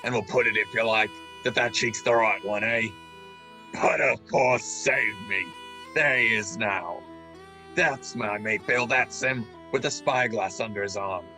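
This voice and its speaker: A man playing a menacing pirate who feigns friendliness, with a raspy voice and wheedling sailor dialect in a radio play. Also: lowish voice, unhurried, bright, forceful tone, soft background music. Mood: sly, wheedling. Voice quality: raspy, gravelly. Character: menacing pirate feigning friendly warmth, sly old shipmate.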